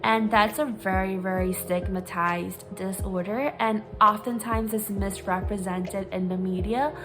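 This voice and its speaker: A woman's voice: medium pitch, fairly even intonation, measured pace. Candid young woman vlogging over music. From Singapore. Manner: candid, hesitant, many ums, reading from talking points. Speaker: vlogger, psychology grad student. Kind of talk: personal confessional vlog. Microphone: phone.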